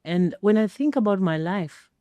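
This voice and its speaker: A middle-aged woman telling a personal story as an interview guest, speaking emphatically with pauses for effect. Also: mid-range voice, animated, no echo, lo-fi sound. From Kenya. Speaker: interview guest, former air traffic controller.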